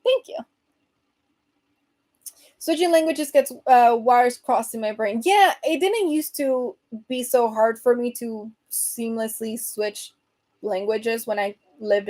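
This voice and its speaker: A young woman from Venezuela speaks with a Venezuelan accent and a warm, soft tone that sits a little high. She chats loosely with her viewers, reading their comments aloud, with lots of fillers and laughs. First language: Spanish. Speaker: host. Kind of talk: casual livestream chat.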